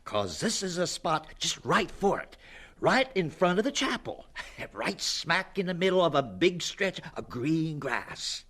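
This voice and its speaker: An earnest, homespun fellow in an audio drama, deferring to his boss. His voice sits high and swings freely in pitch as he rambles and hesitates through his plain country phrasing. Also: big pitch swings, unhurried, pushed and bright, slightly rough, full character voice. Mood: delighted. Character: folksy, rambling, earnest subordinate man pleading with his boss.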